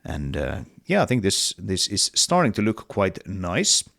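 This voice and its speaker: A man's voice: deep voice, huge pitch swings, unhurried, creaky, fairly bright tone, no room sound, clean studio sound. A man with a cold and a partly lost voice, talking casually through his game level in a hesitant devlog with many fillers. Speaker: indie game developer.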